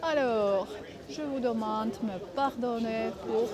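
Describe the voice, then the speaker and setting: A woman speaking French that is not her native language, giving casual advice in a vlog, halting often to search for words. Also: fairly high pitch, a loud crowd. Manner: halting, many filler pauses, searching for words. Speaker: vlogger.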